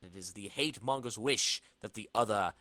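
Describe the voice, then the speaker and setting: A man's voice: lowish voice, normal speed, pushed and bright, no room sound. A man narrating a comic book as a dramatic reading, with theatrical storyteller phrasing. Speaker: podcast host and narrator.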